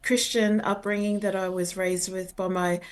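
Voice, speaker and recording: An older woman from New Zealand, an educator and researcher, answering interview questions in a halting, earnest way. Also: medium pitch, level tone. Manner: halting, many fillers and restarts, earnest.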